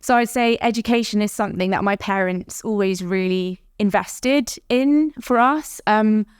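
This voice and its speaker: A woman with a London accent talks in her thirties as a podcast guest, her voice smooth and clean with a dry, close sound. She tells stories in a chatty way and laughs easily. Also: mid-range voice, normal speed, no echo. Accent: London English. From South London. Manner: warm, laughs often, chatty storytelling. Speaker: guest, startup founder. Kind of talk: podcast interview.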